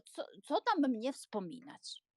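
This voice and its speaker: Audiobook drama: old spinster aunt played by a woman, earnest moraliser, unhurried and fussy, close dry sound. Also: high voice, huge pitch swings, no room sound, exaggerated voice. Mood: scandalised, indignant. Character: elderly spinster aunt, earnest, prim, easily scandalised matchmaker.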